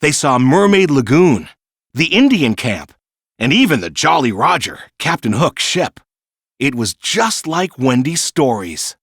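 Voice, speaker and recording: A man reading a children's storybook in an expressive, clearly paced storytelling manner. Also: medium pitch, a little slow, dry recording, clean studio sound. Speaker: narrator. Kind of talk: scripted children's storybook narration.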